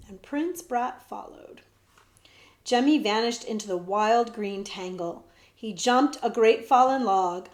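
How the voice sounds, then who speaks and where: A woman librarian narrates a children's novel in a lively, expressive read-aloud. Her voice sits fairly high. The sound rings in an echoey room.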